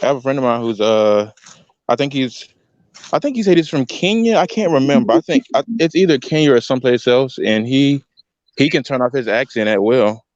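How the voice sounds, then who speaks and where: A man's voice: medium pitch, animated, a little fast, a little mellow, no echo. A man with a mid-range voice talks casually on a panel. He presses and repeats his points, and the audio is dry and muffled. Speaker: talk show panelist. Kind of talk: casual conversational debate.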